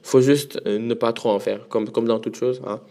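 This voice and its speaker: A man's voice: medium pitch, fairly even intonation, normal speed, warm tone. Man mixing French and English. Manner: switches between French and English mid-sentence; frequent restarts and repeated words. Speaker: podcast host. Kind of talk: casual solo monologue.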